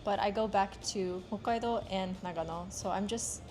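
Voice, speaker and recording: Young American woman, mid-range voice, soft and hesitant, casual street-interview answers. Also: mid-range voice, moderate pace, over music. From United States. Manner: soft-spoken, filler-heavy, hesitant phrasing. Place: outdoors on a street in Japan.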